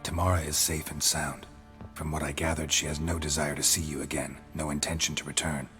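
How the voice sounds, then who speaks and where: An actor playing a stoic, battle-hardened monster hunter in a video game, terse and deadpan. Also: male voice, extremely low pitch, brisk, soft background music. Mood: flat, matter-of-fact. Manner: deadpan, laconic, clipped. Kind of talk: restrained video game acting.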